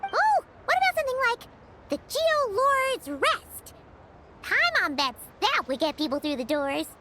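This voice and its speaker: Very high, squeaky-cute little girl. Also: sing-song, unhurried, pushed and bright, slight background noise, full character voice. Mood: eager. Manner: childlike, exclamatory. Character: cute, excitable, curious little girl-like game companion. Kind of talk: animated video game dialogue. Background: music.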